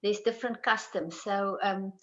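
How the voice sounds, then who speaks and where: A woman with a South African accent, a native Afrikaans speaker, talking as a panel guest in a hesitant, chatty way with many pauses. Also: mid-range voice, fairly even intonation, a bit bright. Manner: hesitant, many ums, laughs often. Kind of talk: casual conversation. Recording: dull lo-fi call audio.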